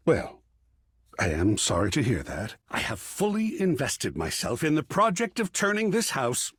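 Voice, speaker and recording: Wildly swooping, theatrical living artwork. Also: male voice, fairly high pitch, breathy edge, dry recording, studio quality, exaggerated voice. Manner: theatrical, florid, effusive. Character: whimsical, pretentious, exuberant living artwork, an adult male. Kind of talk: video game character acting.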